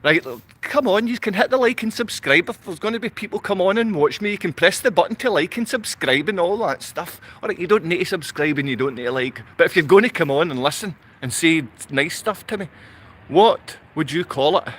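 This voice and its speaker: A man's voice: sits high, animated, a little fast, fairly bright tone. A man from Glasgow with a Glaswegian Scottish accent, talking unscripted to livestream viewers in a rambling, repetitive way full of rhetorical questions. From Glasgow, Scotland.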